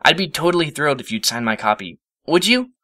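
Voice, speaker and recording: An excitable, studious young mare from a storybook, played by a man. The voice is pitched well up and swings about in melody. The manner is earnest and gushing, easily flustered. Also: fast. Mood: starstruck. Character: bookish, excitable young female pony, anxious hero-worshipper. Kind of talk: storybook character voice.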